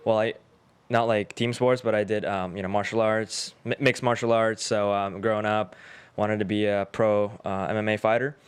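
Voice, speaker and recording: A man in his twenties from Uzbekistan, a native Russian speaker, with a very clean, smooth and slightly low voice. He talks as a podcast guest, telling his own story in loose, slangy, informal conversation. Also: little pitch movement, normal speed, dry recording. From Tashkent, Uzbekistan. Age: 20s.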